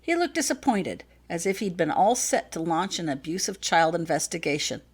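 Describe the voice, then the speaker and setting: A woman's voice: lowish voice, very animated, normal speed, breathy edge. A woman reading scripted audiobook fiction as the author of the story.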